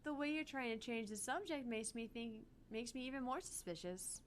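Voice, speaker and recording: A woman voicing a prim, prickly young female artificial intelligence in a visual novel, speaking in a measured, deliberate way. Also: a little high, a little hiss or hum, lightly acted. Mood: suspicious. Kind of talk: visual novel character voice reading.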